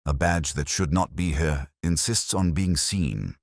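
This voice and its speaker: A man voicing a thoughtful, soft-spoken police inspector with a precise, dryly philosophical turn in a mystery story. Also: a bass voice, measured pace, vocal fry, no room sound, clean studio sound, full character voice. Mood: pondering.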